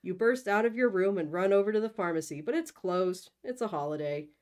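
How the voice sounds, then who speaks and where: A woman's voice: mid-range voice, fairly quick, darkish tone. A woman host reading a comic second-person story aloud as narrator.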